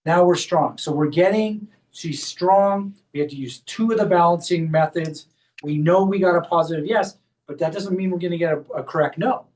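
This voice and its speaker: A high-pitched man talks a small group through a hands-on demonstration at a clinic workshop, explaining each step as he goes. His voice is picked up from some distance, with the room around it. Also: normal speed, darkish tone. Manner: explains step by step while guiding a volunteer. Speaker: chiropractor leading a workshop.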